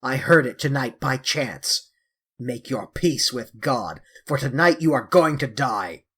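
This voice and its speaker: A man voicing a grim, vengeful sailor who barks short, loud threats, with a husky voice. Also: mid-range voice, animated, unhurried. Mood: menacing. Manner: loud, threatening, clipped commands. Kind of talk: audiobook character voice.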